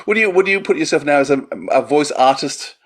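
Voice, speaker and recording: A man's voice: fairly high pitch, quick pace. A man hosting a podcast, putting questions to a guest in a casual, conversational way. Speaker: podcast interviewer.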